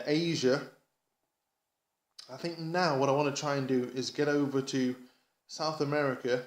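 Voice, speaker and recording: A man from England giving a casual hobby vlog update, rambling enthusiastically and haltingly, often repeating words as he goes. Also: a little high. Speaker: amateur radio hobbyist vlogger. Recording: echoey, roomy sound.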